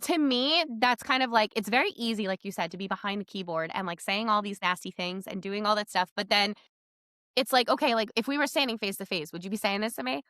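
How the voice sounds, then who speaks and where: A woman's voice: medium pitch, fast talker, bright, forceful tone, dry recording, studio quality. A woman hosting a casual podcast chat, talking in a chatty, emphatic way and often saying "like". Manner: chatty, emphatic, peppers talk with "like". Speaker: podcast host.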